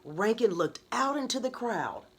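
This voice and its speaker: A woman reading her children's book aloud in an expressive, dramatic storytelling manner. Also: on the high side, a little slow, husky. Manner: animated, dramatic read-aloud delivery.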